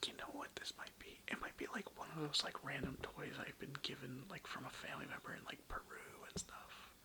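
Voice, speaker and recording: A man's voice: mid-range voice, steady tone, fairly quick, creaky. A man whispering a casual, rambling, slangy ASMR monologue, close to the microphone. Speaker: ASMR video creator. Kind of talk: whispered ASMR ramble about old toys.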